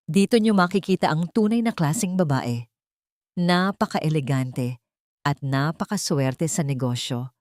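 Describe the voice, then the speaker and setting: A woman's voice: low voice, animated, a little slow, darkish tone, no room sound, studio quality. A woman voicing a haughty, boastful elderly rich mother in an audio drama, with disdainful delivery. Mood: boastful, scornful.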